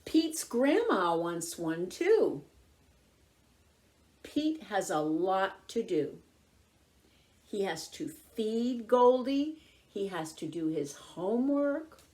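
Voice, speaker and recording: Female children's story reader: expressive picture-book narration, slow and deliberate, highly varied intonation. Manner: expressive, deliberate, clearly phrased.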